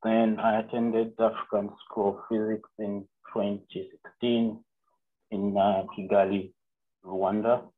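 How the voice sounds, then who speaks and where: A young man from Zambia, a physics PhD student, giving an online seminar lecture, halting with fillers and self-corrections. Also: lowish voice, little pitch movement. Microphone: video call. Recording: narrow phone-like call audio.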